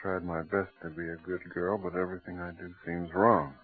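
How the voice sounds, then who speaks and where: An actor playing a gentle middle-aged father in a radio drama, soothing and expressive in a theatrical way. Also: deep voice, moderate pace, natural voice. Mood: puzzled, subdued. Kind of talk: mid-century radio drama acting. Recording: muffled, tinny old radio recording.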